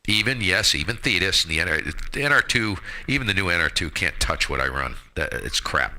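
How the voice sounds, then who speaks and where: Guy with a low voice, really forceful and crisp edge, blunt slangy radio chat. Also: animated, brisk. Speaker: amateur radio operator hosting a live stream. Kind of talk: casual opinionated chat on a ham radio net.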